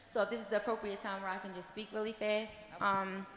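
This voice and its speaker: A woman's voice: mid-range voice, flat delivery, brisk, a bit bright. A woman in the audience giving an impassioned, rambling comment at a panel, searching for words between fillers. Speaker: audience member speaking at a panel. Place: large echoey hall. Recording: echoey hall with long reverb.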